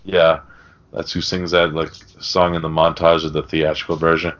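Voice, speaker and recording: A man's voice: extremely low pitch, level tone, normal speed, fairly bright tone. A man co-hosting a podcast, discussing film casually in a rambling, sweary, conversational way. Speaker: podcast co-host. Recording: dull, lo-fi podcast audio.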